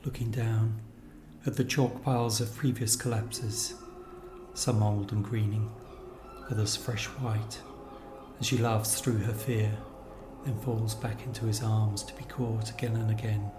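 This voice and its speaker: A man narrating a scripted literary story in a measured, reflective manner. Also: on the low side, little pitch movement, noisy background. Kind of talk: scripted literary narration of location-based stories.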